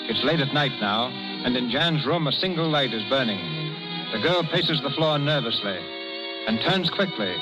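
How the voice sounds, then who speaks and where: A man's voice: mid-range voice, lively intonation, moderate pace, rough recording. A man giving formal, theatrical scripted narration as the announcer of a 1930s radio drama. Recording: vintage radio recording, loud music.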